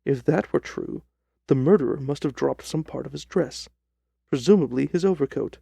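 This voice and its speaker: A man narrating a first-person story from a script in a measured, literary delivery. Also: a little high, gravelly, warm tone, husky, no echo. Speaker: audiobook narrator.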